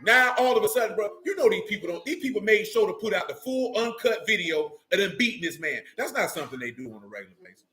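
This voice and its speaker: A man's voice: high-pitched, animated, quick pace, few pauses, sharp tone. A man with speech teaching a religious group informally, emphatic and streetwise, often checking in with his listeners.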